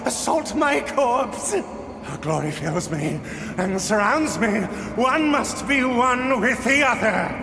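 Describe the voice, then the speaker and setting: A mad zealot raves in archaic riddles, high-pitched and wildly swooping. The delivery is slow and theatrical, rapturous and unhinged, in a long echoing hall, like a dark fantasy game. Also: male voice, animated, full character voice. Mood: manic ecstasy. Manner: theatrical, raving, archaic speech. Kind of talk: video game dramatic acting. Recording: echoey hall with long reverb, music.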